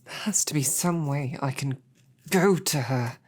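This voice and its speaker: A defiant female knight held captive in an audio roleplay, acted out by a woman. Her voice sits very low with a husky grain, and she speaks slowly. Her phrasing is courtly and loyal, cut with dry snark. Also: darkish tone. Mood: frustrated, despairing. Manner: formal, chivalrous phrasing mixed with dry sarcasm. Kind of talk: scripted audio roleplay drama.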